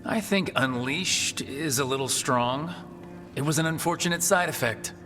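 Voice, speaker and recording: An actor playing a young rebel hero in a fantasy game, conversational, cheeky and earnest. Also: male voice, a little high, normal speed, over music, natural voice. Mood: defiant, flippant. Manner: flippant, conversational.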